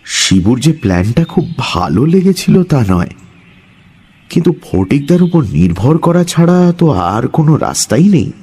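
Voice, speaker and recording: A male storyteller narrates a scripted tale with drama. He takes his time, in a husky mid-pitched voice that rises and falls expressively. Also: big pitch swings, a little slow, a little mellow.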